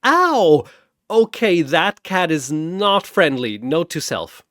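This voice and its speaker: A man's voice: fairly high pitch, sing-song, takes it slowly, fairly bright tone, no echo, clean studio sound, voice pushed up, exaggerated voice. A man voicing a hapless young pirate, an indignant comic hero with a flustered, theatrical delivery. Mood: pained, flustered.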